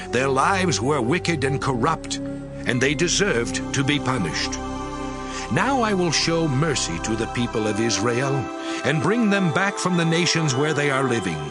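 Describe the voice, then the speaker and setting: An actor playing the Lord God as a stern, solemn divine judge, declaiming in a measured way in an audio scripture drama. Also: male voice, mid-range voice, lightly acted. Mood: stern, condemning, then solemn, merciful. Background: music behind the voice.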